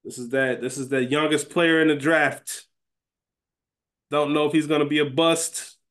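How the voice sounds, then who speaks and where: A man's voice: on the high side, steady tone, normal speed, fairly bright tone. An American man co-hosting a podcast in casual, bantering chat. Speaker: podcast co-host.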